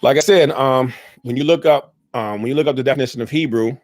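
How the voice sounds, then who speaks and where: An earnest young man answers questions at length, circling back and correcting himself as he goes. His voice sits at an ordinary middle pitch. The recording is close and dry, with no room sound. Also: fast, a little mellow, dry recording. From South Side Chicago, USA. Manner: rambling, self-correcting, earnest. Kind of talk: casual spoken Q&A.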